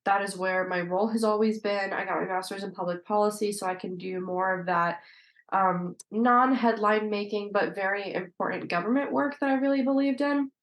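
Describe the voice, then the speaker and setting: A woman's voice: mid-range voice, normal speed. A woman giving conversational interview answers as a legislator guest, explaining things in an articulate way. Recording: roomy, echoey sound.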